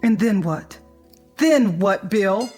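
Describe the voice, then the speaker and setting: A woman's voice: mid-range voice, expressive, slow pace, darkish tone, husky, soft background music. An American woman playing a frightened, despairing wife and mother in a radio drama, fretful and faltering as she speaks.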